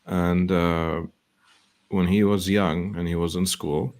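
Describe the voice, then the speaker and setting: Very deep-voiced earnest motivational man. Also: steady tone, a little mellow. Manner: earnest, quotes sayings, slow emphasis. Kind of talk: motivational talk.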